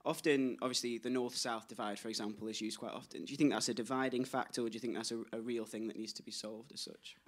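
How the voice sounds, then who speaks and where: A man's voice: mid-range voice, fairly even intonation, quick pace, few pauses. A man in the audience at a public talk, asking questions in a hesitant, stumbling way. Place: public arts talk venue.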